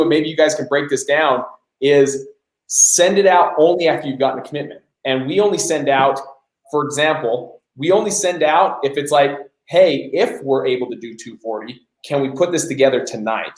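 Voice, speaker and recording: Energetic man coaching a live audience, bright-ish voice, echo in the room. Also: a little high. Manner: energetic, emphatic, addresses audience as guys. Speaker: host.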